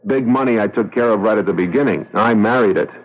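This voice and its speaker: An actor playing a slick, ruthless, middle-aged showbiz agent who is haunted, gruff and defensive, in 1970s radio drama style. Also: male voice, on the low side, fast talker, natural voice. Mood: proud, defensive. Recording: muffled vintage radio broadcast, music behind.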